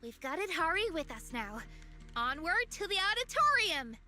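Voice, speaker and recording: Very high, bright, perky girl. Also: very animated, moderate pace, faint music behind, heavily acted. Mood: cheerful. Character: perky, watchful young girl companion, energetic and caring. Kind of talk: video game voice acting.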